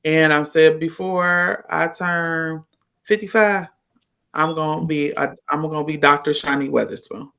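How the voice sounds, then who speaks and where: Woman, fifties, nurse guest, bright forceful tone, unhurried and reflective. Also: sits low, steady tone, creaky. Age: 50s. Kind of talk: casual interview conversation.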